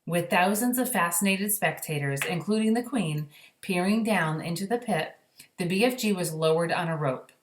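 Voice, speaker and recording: A woman's voice: fairly low pitch, moderate pace, slight background noise. A woman reading a children's story aloud, adding conversational asides to explain it. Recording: roomy indoor echo.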